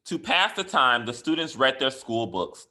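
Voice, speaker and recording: A man reading a picture book aloud to children in an expressive way, with deliberate, emphatic phrasing and dramatic stresses. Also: mid-range voice. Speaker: school educator reading a bedtime story.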